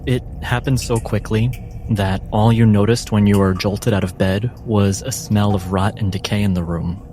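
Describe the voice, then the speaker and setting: A man narrating as game master in a tabletop game, measured and descriptive. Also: on the low side, fairly even intonation, warm tone, slight background noise. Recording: clear music behind the voice.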